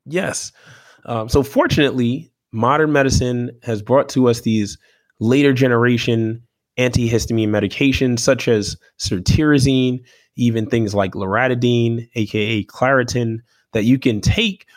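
A man's voice: mid-range voice, dry recording. Man explaining medicine in a dry, close recording. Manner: measured, teaching tone with frequent "right?" and "um". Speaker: medical expert co-host. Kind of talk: explanatory health podcast talk.

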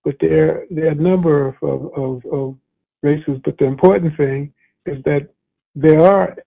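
A man hosting a casual radio interview, talking haltingly with many fillers and restarts. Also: on the high side, fairly even intonation, vocal fry. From United States. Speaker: radio host. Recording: tinny phone-like radio audio.

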